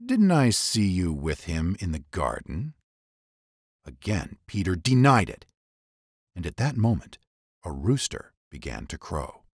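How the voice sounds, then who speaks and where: A man narrating scripture as a dramatic scripted reading, in a measured storytelling manner with phrases set apart. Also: low-pitched, darkish tone, no room sound, clean studio sound.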